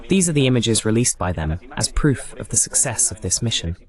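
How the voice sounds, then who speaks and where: A man delivers live news commentary as a host. His pitch swings widely and animatedly from phrase to phrase, and he drops in pointed, sardonic asides as he explains. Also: big pitch swings, a little fast. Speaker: host of a political commentary broadcast.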